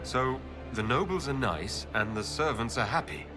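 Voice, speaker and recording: An actor playing a composed elf Inquisitor in a fantasy game, speaking in a measured way. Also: male voice, medium pitch, big pitch swings, lightly acted. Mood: calm, wry. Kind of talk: video game dialogue acting. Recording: long reverb, loud music behind.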